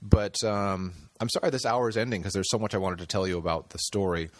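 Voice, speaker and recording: Low-voiced man, hesitant podcast guest. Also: fairly even intonation, fast talker, no echo. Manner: hesitant, with many fillers and restarts. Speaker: podcast guest, screenwriter and director. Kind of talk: casual interview talk.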